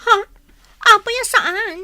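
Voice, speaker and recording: Very high, coy Filipino sweetheart. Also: female voice, slow, pushed and bright, full character voice. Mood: clingy, pouting. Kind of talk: Filipino radio comedy-drama acting.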